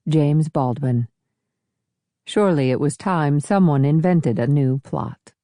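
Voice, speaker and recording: Mellow woman narrator, dry studio sound. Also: deep voice, takes it slowly, no room sound. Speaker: audiobook narrator. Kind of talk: scripted literary narration.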